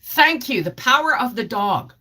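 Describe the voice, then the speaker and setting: A woman's voice: fairly high pitch, big pitch swings, moderate pace, bright, forceful tone. A middle-aged woman chatting casually on a live stream, rambling and answering viewer comments as she goes. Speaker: homestead channel host.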